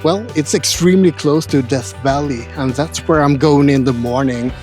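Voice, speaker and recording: A man's voice: on the high side, normal speed, darkish tone, faint music behind. A man from Sweden, narrating his travel vlog in a dry, wry and grumpy humor. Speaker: travel vlogger.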